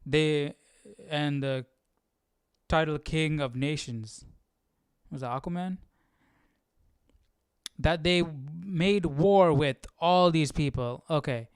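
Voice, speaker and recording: Clear-voiced man reading and thinking aloud. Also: on the high side, slow, pauses often, no room sound. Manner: informal, thinking aloud, often repeating and self-questioning. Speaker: host of a live Bible study. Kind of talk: casual study chat, reading aloud and commenting.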